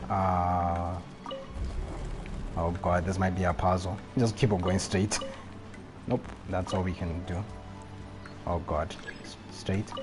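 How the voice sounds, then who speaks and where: Low-voiced man streaming a video game. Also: level tone, slow, long pauses. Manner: reacts aloud, mild swearing, thinks out loud. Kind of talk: casual live gameplay commentary. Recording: game music behind the voice. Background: loud game music and effects.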